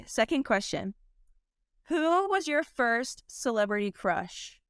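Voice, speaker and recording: A young woman hosting an interview, cheerfully reading out rapid-fire questions in a brisk manner. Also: on the high side, very animated, dry recording, clean studio sound. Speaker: interview host.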